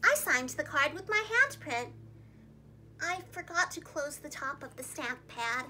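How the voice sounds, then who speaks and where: Very high, bright young woman storyteller. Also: measured pace, slight background noise. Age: young adult. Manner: expressive, dramatic storyteller delivery. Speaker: preschool teacher and storytime host. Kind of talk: animated read-aloud for young children. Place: small indoor room, seated against a plain wall.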